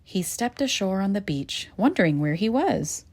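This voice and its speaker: A woman reading a children's picture book aloud in a gentle, expressive storytelling manner. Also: mid-range voice, moderate pace. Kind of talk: children's read-aloud narration.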